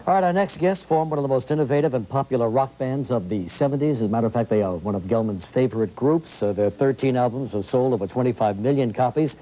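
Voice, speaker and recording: A man's voice: mid-range voice, quick pace, hardly any pauses, dark tone, low-quality recording. A man in his sixties hosting a morning television interview, chatty and affirming, often repeating himself. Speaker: talk show host. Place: TV studio set with audience.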